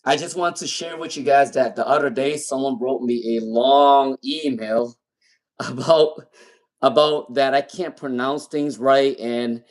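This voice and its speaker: A man's voice: medium pitch, steady tone, a little slow. A man with a non-native English accent and a speech impairment reads tarot live for his viewers. His voice sits in a middle range. He repeats each name and question several times as he works. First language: not English. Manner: repeats names and questions while pulling cards. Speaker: tarot reader. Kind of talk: live-stream tarot reading.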